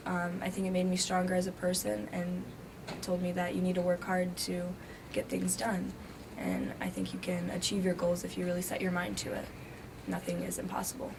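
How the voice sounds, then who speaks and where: A young woman whose first language is Greek, a graduating student answering interview questions hesitantly with many pauses. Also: on the low side, monotone, creaky. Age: young adult. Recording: noisy background.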